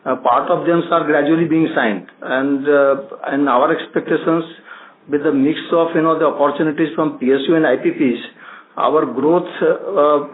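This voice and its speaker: A man, a company executive, answering analysts on an earnings call in a halting way, full of "uh" fillers. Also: fairly high pitch. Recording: tinny phone line with faint noise.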